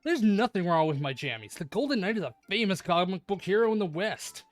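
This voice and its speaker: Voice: medium pitch, very animated, fairly quick, soft background music, lightly acted. A streamer reading a cocky, crude teenage boy judo star in a visual novel, brash and smug. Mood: defiant.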